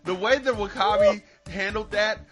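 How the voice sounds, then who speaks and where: A man's voice: sits high, moderate pace, loud music behind the voice. A man hosting a podcast, telling stories in casual, joking, swear-filled chat. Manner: profane, joking, storytelling. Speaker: podcast host.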